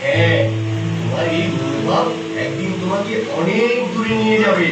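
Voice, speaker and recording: Kindly male teacher played by a man for a puppet play, high-pitched, encouraging, distant under loud music. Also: animated, normal speed, heavy reverb. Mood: warm, encouraging. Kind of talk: puppet show dialogue.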